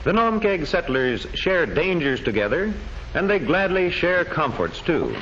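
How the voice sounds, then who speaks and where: A man narrating an old educational history film from a script, in measured, declamatory storytelling phrases. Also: fairly high pitch, big pitch swings. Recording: dull old film soundtrack with faint noise.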